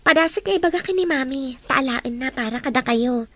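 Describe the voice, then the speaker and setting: A woman's voice: sits high, brisk. A woman with an Ilocano accent playing a helpful young daughter and loyal friend in a radio drama. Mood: encouraging.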